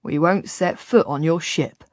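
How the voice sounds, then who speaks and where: A woman's voice: deep voice, measured pace, darkish tone, no echo, clean studio sound. A woman voicing a stern, curt male fort captain who gives blunt orders, in an audiobook story. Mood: defiant. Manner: commanding, blunt.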